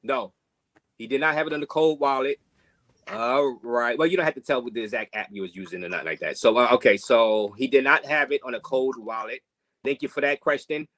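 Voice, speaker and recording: A man's voice: medium pitch, a little fast, a bit bright. A man co-hosting a stream, reading out donations in a loose, offhand way. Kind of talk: casual stream talk.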